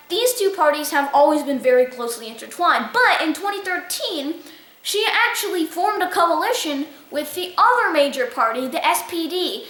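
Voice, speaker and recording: A woman's voice: high-pitched, pushed and bright, roomy sound. A woman hosting a casual weekly news review, chatty and loose, with frequent fillers.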